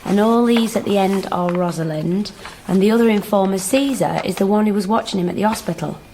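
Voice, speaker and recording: An actress playing a defensive, independent sister, talking in dry, blunt, clipped lines in naturalistic radio drama dialogue. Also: female voice, medium pitch, brisk, dark tone, a little hiss or hum, close to an everyday voice, rough recording. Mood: dry, curious. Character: defensive, independent pregnant woman, sharp with her brother.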